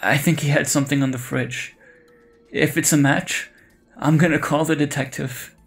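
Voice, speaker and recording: A man's voice: on the high side, fairly even intonation, moderate pace, creaky, faint music behind. A young man playing an earnest, hesitant, grieving college student in an audio drama. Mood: troubled. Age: young adult.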